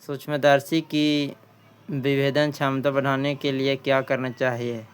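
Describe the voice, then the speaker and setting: Male quiz tutor, smooth clear tone, flat intonation, halting read-aloud of questions and answers with repeats. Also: mid-range voice. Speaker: exam-prep tutor.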